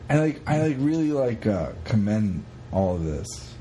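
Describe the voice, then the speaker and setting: A man co-hosting a podcast, chatting casually in an animated, rambling, sweary way as he retells stories. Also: on the low side, unhurried, a little hiss or hum. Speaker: podcast co-host. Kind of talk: casual chat retelling a historical story.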